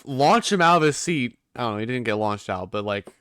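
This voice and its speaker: Teenage male, podcast co-host, mid-range pitch, dry sound, casual rambling slang. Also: lively intonation, a little fast, fairly bright tone, clean tone, no echo.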